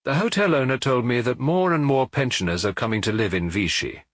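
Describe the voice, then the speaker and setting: Man voicing a gentle middle-aged wife, pitched up. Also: expressive, fairly quick, dry recording, studio quality, natural voice. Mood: conversational. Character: detective's mild, attentive middle-aged wife.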